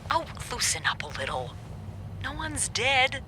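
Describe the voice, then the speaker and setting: A woman's voice: sits high, big pitch swings, unhurried, pushed and bright, slight background noise, full character voice. A woman playing a sly, teasing, self-assured hotel receptionist in a video game, with a wry, confident delivery. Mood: wry, persuasive.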